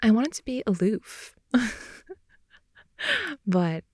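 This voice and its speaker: A woman's voice: medium pitch, drawn-out words, dry recording, studio quality. A young woman playing a goofy, clingy girlfriend who rambles and teases at bedtime in an intimate, improvised ASMR roleplay. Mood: sheepish, giggly. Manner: rambling, giggly, teasing, with hushed asides. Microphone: close mic, dry.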